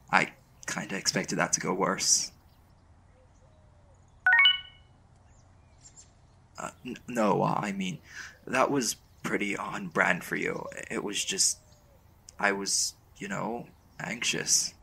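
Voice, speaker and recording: A man performs a young, lovestruck male college mage for a boyfriend roleplay. His voice is low and heavily fried, and he talks slowly in halting, casual phrases, earnest and a little unsure of himself. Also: gravelly, pushed and bright, slight background noise, full character voice. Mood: relieved, awkward. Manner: hesitant, halting, casual slangy delivery. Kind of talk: intimate boyfriend audio roleplay.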